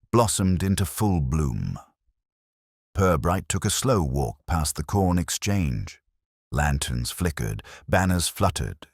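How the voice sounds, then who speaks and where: A man reading scripted dramatic narration of a mystery story in a measured, literary manner. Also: extremely low pitch, dry recording, studio quality.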